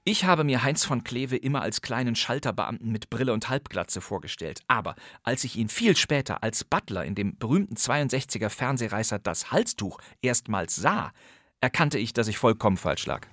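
A man's voice: mid-range voice, lively intonation, moderate pace, fairly bright tone, no room sound. Man narrating a podcast, dry close sound. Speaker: podcast host. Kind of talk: scripted narration about old radio crime plays.

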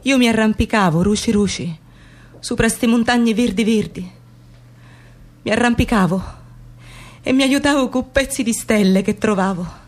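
A woman's voice: a little high, normal speed, pauses often, close to an everyday voice. An actress playing an anguished, defiant working-class Sicilian woman in a radio theatre piece, in incantatory, repetitive dramatic monologue with a Sicilian accent. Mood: anguished, dreamy. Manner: incantatory, repeating phrases with intensity.

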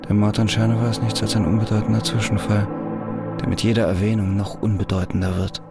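A man's voice: on the low side, flat delivery, fast talker, loud music behind the voice, natural voice. An actor playing Philip Carter, an innocent man in prison, in a radio crime drama, brooding and bitter in his inner voice.

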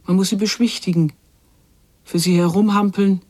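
A woman's voice: mid-range voice, steady tone, normal speed, a little mellow, natural voice. An actress playing a watchful, uneasy woman in a literary radio drama, speaking in a restrained, introspective monologue. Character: watchful, uneasy woman voicing a girl's hidden fears.

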